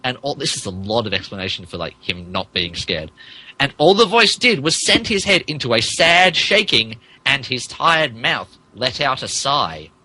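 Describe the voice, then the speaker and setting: A man's voice: mid-range voice, lively intonation, moderate pace, pushed and bright, breathy edge, rough recording. A man giving a mock-serious, theatrical comic narration of fan fiction on a podcast.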